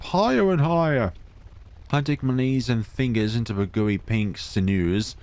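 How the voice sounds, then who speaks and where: A man's voice: mid-range voice, clean tone, no room sound. A man reading a visual novel aloud in a dramatic way, adding asides and correcting his own stumbles. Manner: theatrical, stumbles and corrects himself. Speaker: let's play host. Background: music.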